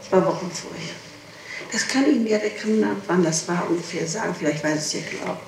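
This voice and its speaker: A woman's voice: lowish voice, expressive, fast, creaky. A woman being questioned in a recorded interrogation, recalling things hesitantly, interjecting and correcting herself. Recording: echoey 1960s archive tape, some noise.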